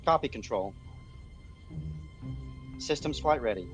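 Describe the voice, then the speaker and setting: A man with an average-pitched voice coaches online flight roleplay. He gives procedural, military-style callouts and explanations. Music is clearly audible behind him. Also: lively intonation, takes it slowly.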